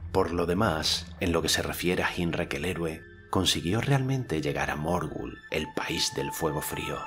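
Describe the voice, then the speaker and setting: Deep-voiced man reading an audiobook. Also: moderate pace, fairly bright tone, soft background music. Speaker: audiobook narrator. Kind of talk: audiobook narration with character voices.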